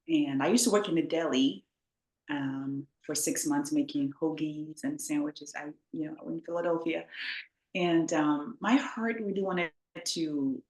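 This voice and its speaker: Low-voiced woman in an echoey room. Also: darkish tone. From Ghana. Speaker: guest author. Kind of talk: interview storytelling.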